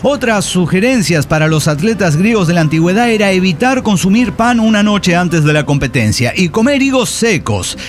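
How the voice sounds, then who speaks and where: A man's voice: sits high, sing-song, moderate pace, few pauses, faint music behind. A man playing a curious, eager investigator who explains ancient history with small hesitations in a comic radio play. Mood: enthusiastic explaining. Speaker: radio theater podcast performer. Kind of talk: comic radio drama dialogue.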